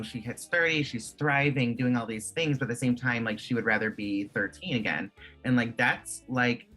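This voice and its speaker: A man's voice: medium pitch, sharp tone. A man in his twenties from Michigan, a guest chatting casually in an interview, full of "like" fillers. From Michigan, USA. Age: 20s. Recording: faint music behind.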